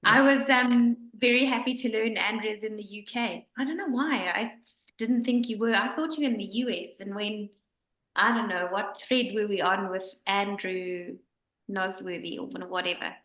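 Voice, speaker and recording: A woman living in Northern Ireland, chatting excitedly on a group video call. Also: medium pitch, normal speed, reverberant, poor audio. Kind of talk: casual group video chat.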